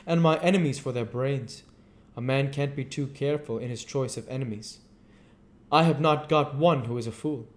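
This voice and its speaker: A man voicing a witty, vain English gentleman from a novel, with an exclamatory, teasing and pompous manner. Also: a little high, close to an everyday voice. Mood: flippant, mock indignant.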